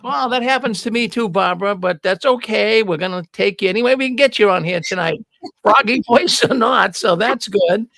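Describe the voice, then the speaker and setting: An older man with a Boston accent runs the show with folksy, chatty warmth toward his listeners. His voice sits high and carries a gravelly rasp. He's close on a studio mic in a dry, dead room. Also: moderate pace, no room sound. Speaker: host. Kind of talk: casual show hosting. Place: studio with neon signs. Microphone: broadcast mic on boom arm.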